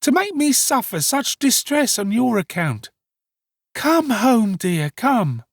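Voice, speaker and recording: A man's voice: very high voice, sing-song, slow pace, no room sound, clean studio sound, pitched above the performer's own voice, full character voice. A man voicing a hysterical, scheming young woman who wails melodramatically in an audiobook story. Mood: hysterical, wailing. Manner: wailing, melodramatic exclamations.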